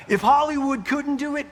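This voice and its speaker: An actor playing a cocky, wisecracking movie-star fighter in a video game, raspy, flippant and slangy, with exaggerated swoops. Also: male voice, a little high, a bit bright, heavily acted. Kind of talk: comic video game acting.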